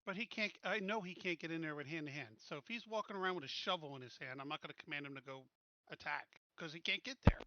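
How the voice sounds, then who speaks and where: Bright, higher-voiced man playing a tabletop game. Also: fast, no room sound, highs cut off. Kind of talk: casual game play talk.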